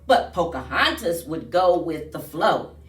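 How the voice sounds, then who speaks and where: Woman, children's storyteller, unhurried, lively intonation, singsong read-aloud, roomy distant recording. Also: low-pitched. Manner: expressive, singsong delivery for children. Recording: roomy echo, distant mic, faint music.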